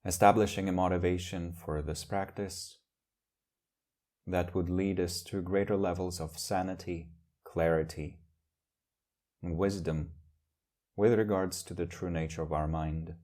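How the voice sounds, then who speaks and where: Guy doing guided meditation, really deep soft mellow voice, gentle measured phrases w long pauses. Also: steady tone. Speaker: meditation teacher.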